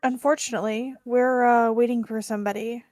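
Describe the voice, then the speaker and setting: A woman's voice: a little high, fairly even intonation, a little fast, a little mellow, dry recording, studio quality. A woman playing a tabletop roleplaying game, chatting casually as she speaks for her character.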